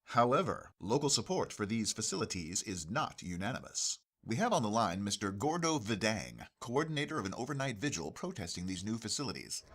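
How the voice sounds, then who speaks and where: A man playing an earnest local radio news anchor in a parody newscast, formal, measured and politely persistent. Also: lowish voice, big pitch swings, a bit bright, exaggerated voice. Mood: formal, composed.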